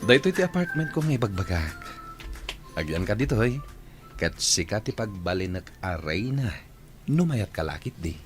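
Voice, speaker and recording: A man's voice: fairly low pitch, sing-song, moderate pace, over music. A man acting in a radio drama as a domineering businessman who coaxes and bosses a younger woman. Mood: coaxing, insistent. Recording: noisy recording with background ambience.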